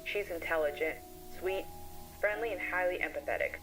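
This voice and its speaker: An actress playing a composed child therapist, recording case notes in a matter-of-fact, conversational way for a scripted horror story. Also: female voice, on the low side, moderate pace, over music, lightly acted, poor audio. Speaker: therapist narrator. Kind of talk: scripted analog horror narration.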